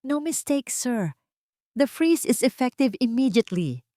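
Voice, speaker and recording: A woman voicing a calm, polite bank hotline agent in an audio story, with a measured, official manner. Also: fairly high pitch, no echo, voice pushed up. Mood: firm.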